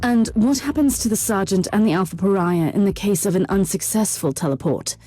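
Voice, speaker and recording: A woman's voice: medium pitch, brisk, no room sound, natural voice. A woman playing a stern, commanding Inquisitor of a grim space empire, speaking formally and resolutely in a video game cutscene. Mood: firm, grave. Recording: loud music behind the voice.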